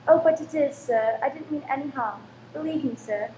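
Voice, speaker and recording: A woman's voice: high-pitched, moderate pace, mellow, natural voice. An actress in a radio play playing a deferential married landlady who is polite, eager and anxious to please. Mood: flustered, apologetic. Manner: servile, polite, flustered when rebuked. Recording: roomy echo with faint background noise.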